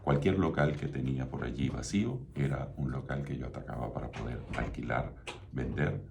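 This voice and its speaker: A middle-aged Venezuelan man with an extremely deep voice hosts his own radio show. He speaks with a Venezuelan Spanish accent in a measured, promotional way. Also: creaky, background noise. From Venezuela (Mérida). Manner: measured, promotional, repeats contact details. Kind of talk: scripted radio talk show monologue.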